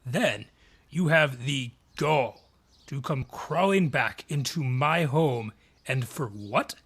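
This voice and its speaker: A man's voice: mid-range voice, expressive, slow pace, fairly bright tone, husky, full character voice. An actor voicing a haughty male dryad with a grudge in an audio roleplay, sardonic and theatrical, drawing out each taunt. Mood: accusing, angry. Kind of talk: scripted audio roleplay acting.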